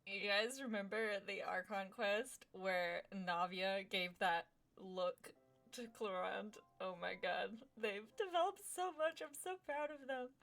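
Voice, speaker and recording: A woman's voice: medium pitch, slow, fairly bright tone, faint music behind. A woman streamer giving casual reaction commentary while playing a game.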